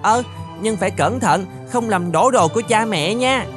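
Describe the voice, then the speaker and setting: A woman's voice: medium pitch, moderate pace, lightly acted. A woman voicing a tiny, playful magical bean child in a fairy-tale cartoon. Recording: music behind the voice.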